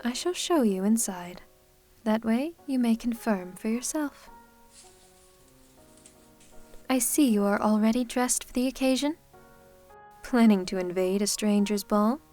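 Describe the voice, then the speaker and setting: A woman playing a gracious, commanding, centuries-old vampire hostess in an ASMR roleplay, speaking formally with gentle teasing. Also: a little high, normal speed, faint music behind, close to an everyday voice. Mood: teasing, welcoming.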